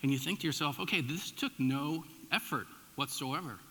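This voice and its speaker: A middle-aged American man preaching as a pastor, telling personal stories in an informal, animated, conversational way with emphatic swings and dropped asides. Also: on the high side, moderate pace. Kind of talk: conversational sermon with personal storytelling. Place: large church room, addressing a congregation. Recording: echoey hall with long reverb.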